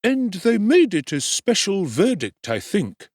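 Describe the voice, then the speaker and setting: A sly, fawning undertaker slides up high and drawls every agreement, his tone husky and close, as a man performs him. Heard in a dramatic audiobook, dry with no room sound. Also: sing-song, a little mellow, dry recording, full character voice. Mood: musing. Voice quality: high, drawn-out, wheedling agreement. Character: fawning middle-aged male undertaker, ingratiating and sly.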